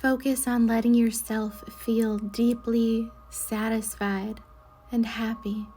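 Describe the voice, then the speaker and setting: A woman guides a meditation in a fairly high, mellow voice. She speaks slowly and soothingly, letting her phrases trail off softly and breathily. The delivery is measured narration for relaxation. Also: little pitch movement, a little hiss or hum. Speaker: guided meditation leader, Reiki instructor.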